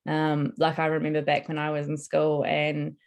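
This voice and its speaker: A woman's voice: low voice, monotone, moderate pace. A young woman answering interview questions in a casual, earnest and enthusiastic way. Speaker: interviewee, construction apprentice.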